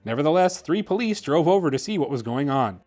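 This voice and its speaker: A male host reads a children's story aloud. His voice sits a bit high and his delivery is theatrical and expressive. There is music in the background. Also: fairly quick. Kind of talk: children's bedtime storybook narration.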